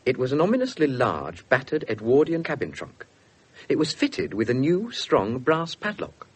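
Casting: keen, easily shocked young British policeman, rough-edged voice, formal storytelling, seventies radio drama. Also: mid-range voice, wildly swooping pitch, normal speed. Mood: measured narrating. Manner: formal narrating, indignant in dialogue. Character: earnest, easily shocked young male police detective narrator. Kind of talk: 1970s British radio drama narration and acting.

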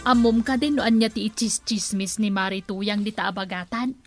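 A woman's voice: medium pitch, steady tone, moderate pace, faint music behind, close to an everyday voice. A woman playing a tattling village neighbour in an Ilocano radio comedy drama, with an Ilocano accent. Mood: confiding.

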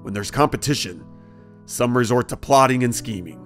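An actor playing a serious, protective husband and powerful boss in an audio drama, speaking in a measured, earnest way. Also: male voice, medium pitch, faint music behind, natural voice. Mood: serious advice.

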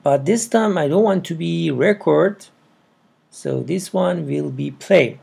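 A man with a non-native English accent narrates a casual step-by-step tutorial, with halting, broken phrasing. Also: fairly high pitch, creaky, dark tone, slightly rough. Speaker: programming tutorial presenter. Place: indoors at a computer.

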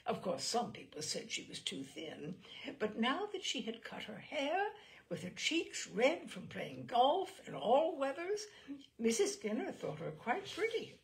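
A woman reading a literary short story aloud as a storyteller, with expressive, measured phrasing. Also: on the high side, vocal fry. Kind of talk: literary audiobook narration.

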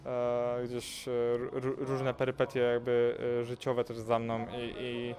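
Młody bokser, płaski głos, dużo wahań. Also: male voice, medium pitch, completely flat delivery, measured pace, darkish tone. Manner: hesitant, many fillers and repeated words. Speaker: boxer. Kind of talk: casual interview answers. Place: event press wall, indoors. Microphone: handheld mic held by interviewer.

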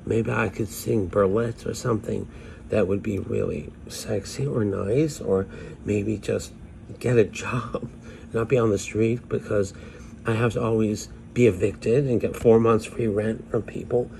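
A man's voice: lowish voice, fairly even intonation, a little slow, vocal fry, darkish tone, slight background noise. A man playing a weary homeless fortune-teller woman. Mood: plaintive. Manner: pleading, plaintive. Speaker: vlogger in character. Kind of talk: monologue to phone camera. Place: small room indoors. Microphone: phone at arm's length.

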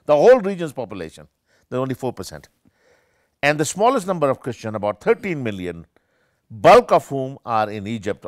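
An older man, a professor, giving an animated and discursive academic lecture with many self-corrections, seated in a small room with armchairs. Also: medium pitch, no room sound, clean studio sound. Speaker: keynote speaker, professor.

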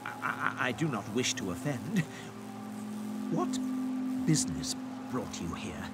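A man's voice: mid-range voice, sing-song, unhurried, very noisy. An actor playing a timid, reluctant old miserly businessman in an audio drama, hesitant and querulous. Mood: wary.

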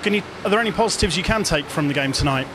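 A man's voice: on the high side, animated, rapid-fire. A man interviewing someone after a game for a fan channel, asking casual questions into a handheld microphone. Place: indoor sports hall. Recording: noisy venue with background ambience.

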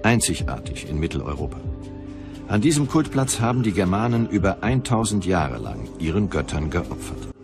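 A man narrating a documentary from a script. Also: on the low side. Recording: music behind the voice.

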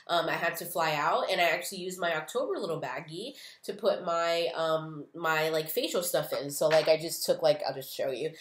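A woman's voice: deep voice, moderate pace, few pauses, echoey room. A young woman in her twenties with a stuffy, nasal voice from a cold, chatting casually and rambling through a beauty box unboxing, recorded on a webcam in a small room. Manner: chatty, rambling, many "um" and "like" fillers. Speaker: beauty vlogger.

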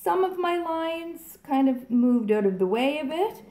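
Woman, chatty tutorial host, mellow voice, roomy echo. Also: sits high, expressive, a little slow. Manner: chatty, upbeat, addresses viewers as guys. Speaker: fluid art channel host. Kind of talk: casual tutorial chat while painting. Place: home art studio, indoors.

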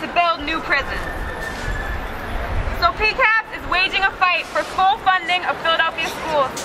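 Very high-pitched woman at a noisy rally. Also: normal speed. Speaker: activist speaker at a rally. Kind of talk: prepared political rally speech. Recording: outdoor crowd and vehicle noise.

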